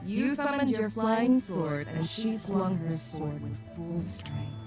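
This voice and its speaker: Breezy female general, loud music behind. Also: medium pitch, animated. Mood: teasing. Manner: playful, unhurried confidence, wry. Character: famed female general, breezy, teasing, self-assured. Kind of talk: anime-style video game acting.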